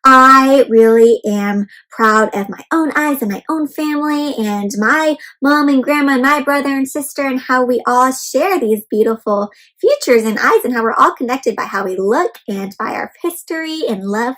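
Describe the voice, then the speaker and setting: Lady doing a picture book read-aloud for kids, really high voice, animated, asks lots of questions. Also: moderate pace, few pauses, low-quality recording. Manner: animated, child-directed, exclaiming and asking questions. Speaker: host reading a picture book aloud to children. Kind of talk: interactive children's read-aloud with questions and explanations.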